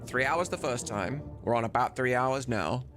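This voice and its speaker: A man's voice: medium pitch, animated, moderate pace, a bit bright, soft background music. A man chatting casually on a live stream, rambling and informal with some swearing. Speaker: live streamer and game modder.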